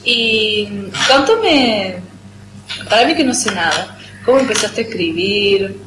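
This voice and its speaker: A woman's voice: medium pitch, expressive, takes it slowly. Argentine lady asking interview questions, friendly, echoey noisy room. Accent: Argentine Spanish, rioplatense. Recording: roomy echo with background noise.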